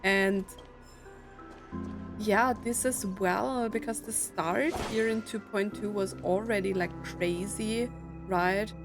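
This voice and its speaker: Unhurried woman doing game commentary. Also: medium pitch, steady tone, music in the background. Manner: rambling, reflective thinking aloud. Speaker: streamer playing a story game. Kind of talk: casual let's play commentary.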